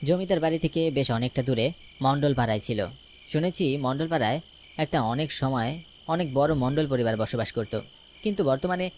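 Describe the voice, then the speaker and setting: A man's voice: medium pitch, a little mellow, slight background noise. A man narrating a scripted first-person ghost story as a dramatic storyteller. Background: music.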